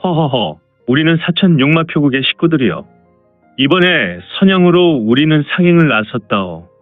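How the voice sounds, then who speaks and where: A man's voice: fairly high pitch, very animated, normal speed, no room sound. Man playing a folksy caravan chief, muffled recording. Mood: affable. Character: good-natured teasing male caravan escort chief. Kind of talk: martial arts audio drama acting. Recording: dry, band-limited, music underneath.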